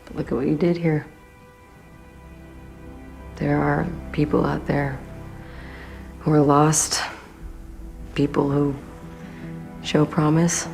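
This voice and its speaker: A woman's voice: low-pitched, little pitch movement, slow, gravelly, long pauses, darkish tone, faint music behind, exaggerated voice. A woman in her thirties playing an earnest, sentimental agent at a script read. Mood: earnest, wistful.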